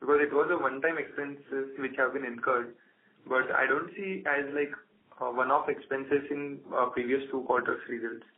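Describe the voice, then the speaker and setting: A man's voice: medium pitch, steady tone, moderate pace. A man asking polite, hesitant questions as an analyst on an earnings call. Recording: noisy, tinny phone line.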